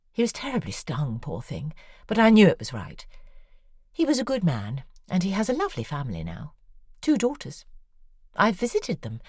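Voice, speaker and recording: A woman's voice: low-pitched, a little mellow, dry recording, clean studio sound, exaggerated voice. An actress playing a worldly, sardonic woman novelist, talking in an intimate, confiding and drily ironic way. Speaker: novelist writing letters. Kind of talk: audio drama monologue.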